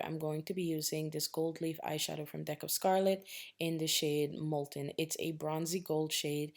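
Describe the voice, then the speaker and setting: A woman with a raspy voice narrating a casual step-by-step makeup tutorial, chatting straight to her viewers and checking in with them often. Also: sits low, darkish tone. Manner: chatty, addresses viewers directly, tags lines with "okay?".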